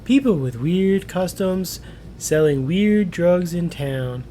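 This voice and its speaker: A man voicing a smug, teasing captive in a story, slow and condescending, with noise behind him. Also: animated, warm tone. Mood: sly, cool. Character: smug, teasing male captive with strange spells, slow and mocking. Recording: clear background noise with low rumble.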